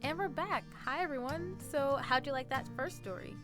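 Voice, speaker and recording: A woman's voice: sits high, moderate pace, over music. A woman hosting a radio program in a chatty, playful, informal way, addressing listeners directly.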